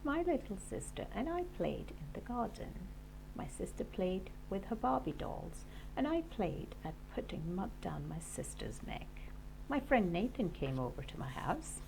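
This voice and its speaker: Animated woman reading a children's story. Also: medium pitch, creaky, background noise. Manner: animated, deliberate storytelling for young listeners. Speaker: class teacher reading a storybook aloud. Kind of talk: expressive children's audiobook narration.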